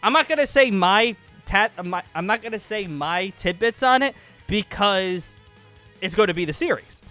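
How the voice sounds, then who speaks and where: A man with a high-pitched voice chats casually and excitedly as a YouTube gaming host. He rambles and circles back to repeat his phrases for emphasis, with music playing behind him. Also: normal speed. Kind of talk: casual excited vlog chat over gameplay.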